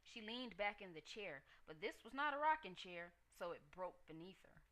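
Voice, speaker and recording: A woman storyteller giving an expressive narration of a children's tale. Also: mid-range voice, moderate pace, rough recording.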